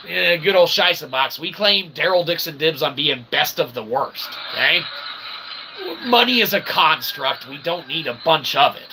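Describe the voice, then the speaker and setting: A man's voice: on the high side, vocal fry, noisy background. A man hosting his own channel with a rambling, self-deprecating comic monologue, folksy and often tagging his phrases with a questioning okay. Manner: folksy, repeats "okay?" as a tag; self-deprecating, tongue-in-cheek. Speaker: independent internet channel host promoting his books.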